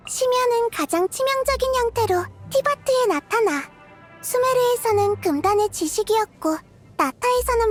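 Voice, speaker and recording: A woman voicing a tiny, childlike goddess of wisdom in a video game, cute and girlish, gentle, curious and thoughtful, musing softly. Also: very high-pitched. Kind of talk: video game character voice lines.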